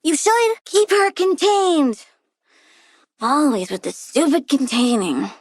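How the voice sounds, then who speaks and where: A petulant little girl villain from a video game, with a very high, childlike voice that swings widely in pitch. She is a plant-loving scientist, cutesy and eager, and openly scornful of people. The delivery is unhurried and recorded very dry. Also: lively intonation, no echo. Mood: whiny annoyed. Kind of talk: video game character voice lines.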